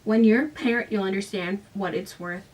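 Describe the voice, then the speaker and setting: Lady reading as a defensive dad, quiet and low-key, sort of off mic. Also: brisk, distant mic, natural voice, poor audio. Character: aging father, defensive and guarded.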